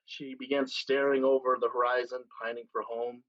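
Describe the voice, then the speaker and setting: A man acting a seasoned, weary pirate captain in a staged play reading, speaking in a measured, deliberate way with dramatic pauses. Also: medium pitch, little pitch movement, a bit bright, dull audio, natural voice. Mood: rueful storytelling. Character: seasoned male pirate captain, weary, solemn, tender, once brash.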